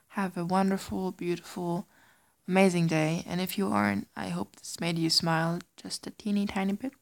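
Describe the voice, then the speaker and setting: A young German woman with a light German accent, chatting casually and giggly, often slipping into a soft whisper. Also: fairly low pitch, vocal fry. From Germany, raised in Singapore. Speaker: audio creator. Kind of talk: casual chat reading word lists.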